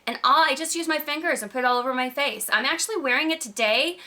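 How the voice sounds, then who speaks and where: Bright, slightly croaky woman chatting about favorites. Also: sits high, quick pace. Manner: chatty, emphatic, gushing. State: slightly croaky from recovering from a cold. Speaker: beauty vlogger. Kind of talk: casual product review chat to camera.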